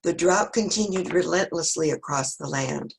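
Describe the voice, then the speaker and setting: A woman's voice: on the low side, normal speed, gravelly, husky, low-quality recording. A woman reading a scripted, dramatic narration of a Bible story as a church reader.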